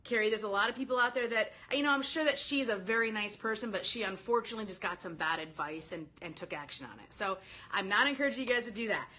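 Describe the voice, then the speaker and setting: A woman giving informal sales coaching on a live stream, talking directly to her viewers in an animated, chatty way. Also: mid-range voice, fast. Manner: animated, informal, addresses listeners directly, quotes sample phrases. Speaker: sales coach hosting a live online training.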